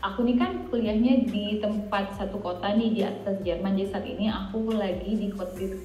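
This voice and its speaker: Wanita usia dua puluhan dari Indonesia dengan aksen Indonesia, mengobrol santai sebagai narasumber dan sering menyelipkan bahasa Inggris. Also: female voice, mid-range voice, steady tone, moderate pace, darkish tone. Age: 20s. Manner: chatty, mixes English into Indonesian. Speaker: interview guest, student living abroad. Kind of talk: casual conversational interview. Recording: roomy echo, music underneath. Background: background music.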